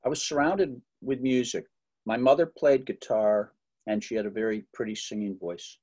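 A man's voice: mid-range voice, fairly even intonation, moderate pace, warm tone. Chatty middle-aged man telling stories. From Massachusetts. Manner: chatty, joking, many fillers and restarts. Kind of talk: relaxed interview storytelling.

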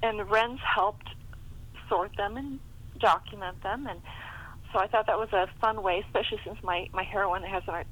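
A woman's voice: medium pitch, moderate pace, rough recording. A middle-aged woman chatting casually as a guest author, telling stories in a chatty way. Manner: chatty, animated storytelling. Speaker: guest author being interviewed. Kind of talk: casual chat about writing.

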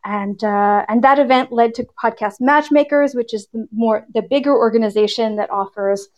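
A woman's voice: a little high, normal speed. A woman guest on a podcast, explaining her projects casually in a chatty, self-amused way over a slightly dull online call. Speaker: podcast guest, podcast network founder.

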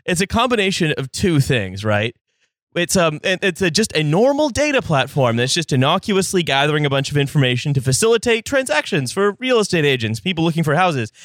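A man co-hosting a casual podcast, explaining a topic in halting sentences full of restarts. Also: fairly high pitch, very animated, a bit bright, dry recording, studio quality. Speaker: podcast co-host explaining the news.

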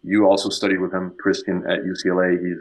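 Guest: young man from Mexico, lowish voice, eager and talkative, stumbles and repeats words. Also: completely flat delivery, fast, poor audio. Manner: enthusiastic, frequent restarts and repeated words. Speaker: guest composer and guitarist. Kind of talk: casual podcast chat.

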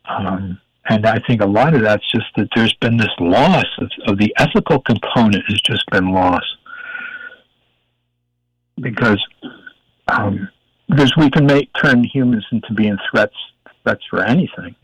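An older man with a gravelly voice, a guest in an interview, talking in a rambling, emphatic way while searching for words. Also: lowish voice, measured pace, long pauses, no room sound. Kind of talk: casual interview talk. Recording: dull, dry audio.

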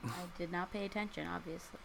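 A woman's voice: lowish voice, steady tone, quick pace, gravelly, a little hiss or hum. A woman in her early twenties co-hosting a casual podcast chat, dry and teasing toward the others. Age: 20s. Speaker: podcast co-host.

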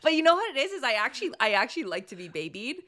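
A young woman co-hosting a podcast in casual comedic banter, animated and blunt, poking fun at herself and peppering her talk with "like". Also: a little high, rapid-fire. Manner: animated, blunt, self-mocking, heavy use of filler "like". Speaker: podcast co-host.

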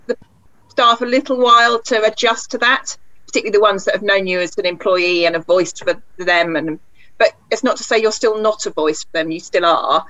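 Female practice manager panelist; bright, forceful tone, thoughtful, muffled audio. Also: mid-range voice. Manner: thoughtful, self-correcting. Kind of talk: panel discussion.

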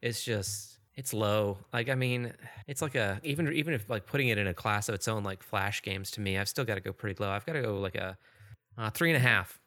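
A man co-hosting a game review podcast, animated and blunt with his opinions. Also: fairly low pitch, brisk. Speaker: podcast co-host. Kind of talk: casual game review chat.

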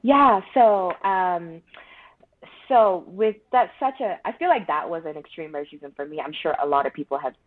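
A woman in her thirties talks in a low, chatty voice, laughing often and filling pauses as an interview guest. Her Mandarin-speaking roots show. The sound is dry, thin and phone-like, with no room around it. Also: expressive, no echo. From Malaysia. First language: Mandarin Chinese. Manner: chatty, frequent laughs and fillers. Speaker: therapist guest. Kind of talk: casual interview conversation. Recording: dry, tinny phone-like line.

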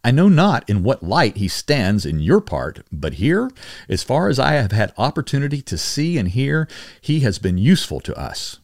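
Man reading formally in a lively, swooping cadence. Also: medium pitch, moderate pace, no room sound, studio quality. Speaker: podcast host reading historical letters aloud. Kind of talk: formal scripted reading of 18th-century letters.

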